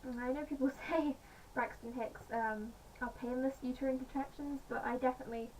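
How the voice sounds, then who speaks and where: A woman with a slightly croaky voice, chatting casually in a home vlog with many pauses and fillers. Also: sits high, steady tone, darkish tone. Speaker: vlogger. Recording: distant mic, faint background noise.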